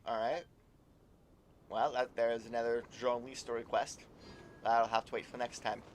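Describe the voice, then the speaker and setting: A man giving casual, halting live commentary on a game, musing aloud and trailing off into asides. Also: medium pitch, flat delivery, slight background noise. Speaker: game streamer. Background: faint game music.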